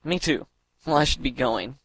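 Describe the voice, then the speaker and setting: A man's voice: mid-range voice, animated, normal speed, fairly bright tone. An actor playing an awkward, hesitant teenage boy in an audio drama. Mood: nervous.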